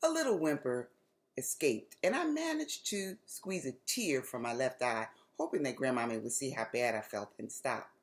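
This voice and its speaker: American lady reading kids a chapter book, really low voice, super animated and dramatic. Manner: deliberate, dramatic phrasing. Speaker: author reading aloud to children. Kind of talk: expressive storybook reading.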